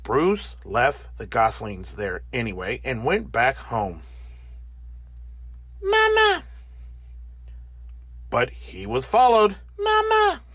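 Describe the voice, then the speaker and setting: Very animated, slow-paced male storybook narrator. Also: mid-range voice, husky, no room sound. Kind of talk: animated children's read-aloud.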